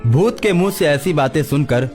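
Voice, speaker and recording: A man's voice: a little high, lively intonation, moderate pace, darkish tone, faint music behind. A man narrating a scripted cartoon story as the storyteller.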